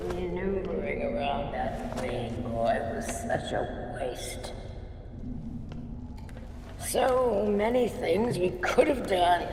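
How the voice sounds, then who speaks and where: Cruel rich schemer, slow gravelly whisper, echoey big room. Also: female voice, fairly low pitch, animated, heavily acted. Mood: scheming. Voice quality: whispery, disdainful. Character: scheming wealthy woman, cruel and contemptuous. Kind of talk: game cutscene acting. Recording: echoey hall with background noise.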